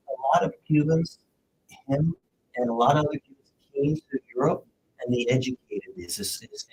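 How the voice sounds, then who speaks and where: A man's voice: medium pitch, darkish tone, a little hiss or hum, poor audio. A man from Puerto Rico, a jazz guitarist chatting as a guest in an interview, easygoing and rambling. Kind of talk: casual interview chat.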